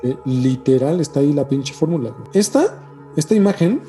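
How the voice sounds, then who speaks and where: A man's voice: on the high side, lively intonation, brisk, warm tone. A man with a Mexican accent in casual podcast chat, slangy and emphatic, often repeating himself. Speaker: podcast co-host. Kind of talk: casual podcast chat about ancient mysteries. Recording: music behind the voice.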